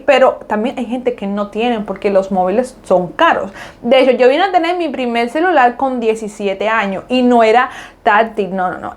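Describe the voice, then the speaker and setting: A Cuban woman with a high voice hosts a reaction video. She chats casually, jokes freely, and talks straight to the viewer. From Cuba. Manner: lively, joking, talks to the viewer as "tú". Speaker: reaction host.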